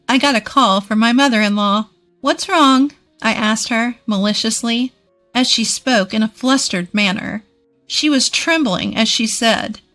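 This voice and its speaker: Casting: female story narrator, higher register, scripted first-person delivery, faint music bed. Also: a bit bright.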